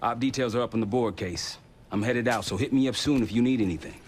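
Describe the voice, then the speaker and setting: An actor playing a grave, determined intelligence operative in a game cutscene, speaking in measured, clipped phrases. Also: male voice, medium pitch, a little hiss or hum, lightly acted. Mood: businesslike. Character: grave, determined male intelligence operative briefing his team. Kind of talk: scripted game cutscene narration and dialogue. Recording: music behind the voice. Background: music.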